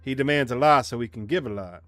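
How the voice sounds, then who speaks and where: A man voicing a haunted country boy recounting his childhood, reading visual novel narration plainly and a little haltingly. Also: medium pitch, a bit bright, dry recording, faint music behind, lightly acted. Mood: somber, reflective.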